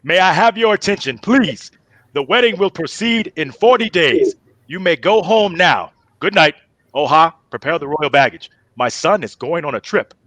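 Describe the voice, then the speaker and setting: A man's voice: on the high side, lively intonation, normal speed, a bit bright, no room sound, pitched above the performer's own voice. A man playing a commanding, fatherly king with a regal, declarative manner. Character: commanding, fatherly king addressing son and court.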